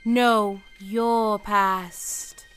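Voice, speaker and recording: Calm solemn spirit, slow deliberate delivery, bright voice, old radio play. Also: female voice, mid-range voice, clean tone, faint music behind.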